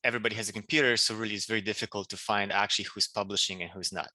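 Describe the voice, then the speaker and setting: A man from Poland with a Polish accent, answering interview questions in a halting way with many restarts. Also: on the low side, fairly even intonation, pushed and bright, no echo. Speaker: political candidate.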